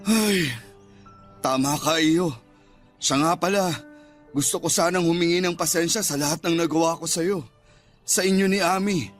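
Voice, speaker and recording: Radio drama role: male actor as protective, remorseful Filipino father, older, high-pitched, earnest, music underneath. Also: normal speed, pauses often, close to an everyday voice. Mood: remorseful, grateful. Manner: pleading, earnest.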